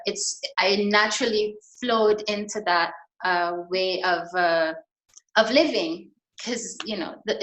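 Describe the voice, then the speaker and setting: Slow-spoken woman from Guyana, echoey room. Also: medium pitch, a bit bright. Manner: slow, thoughtful, many ums. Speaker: guest, fashion designer. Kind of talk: online video interview. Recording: echoey room over video call.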